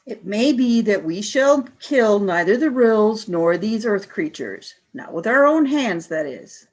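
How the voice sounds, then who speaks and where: A woman's voice: mid-range voice, very animated. Woman acting an imperious, cold alien warrior commander. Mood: cold, brooding. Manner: commanding, deliberate, scornful. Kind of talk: audio drama radio play acting.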